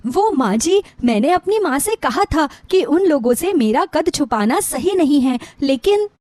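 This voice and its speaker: A woman's voice: sits high, big pitch swings, measured pace, darkish tone. A woman voicing a timid, worried young bride in a story, plaintive and anxious. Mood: apologetic.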